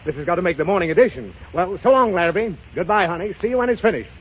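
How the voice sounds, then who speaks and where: Brash, self-important globe-trotting reporter, high-pitched, 1930s radio melodrama, dull vintage sound. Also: male voice, expressive, rapid-fire, slightly rough. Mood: breezy, showy. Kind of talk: 1930s radio drama acting. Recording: muffled, hissy 1930s radio broadcast.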